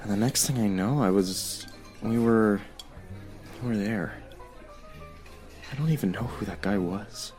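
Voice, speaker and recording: An actor playing a remorseful young man pleading with his ex-boyfriend in an audio drama, halting and earnest. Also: fairly low pitch, a little mellow, soft background music, natural voice. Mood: ashamed, hushed.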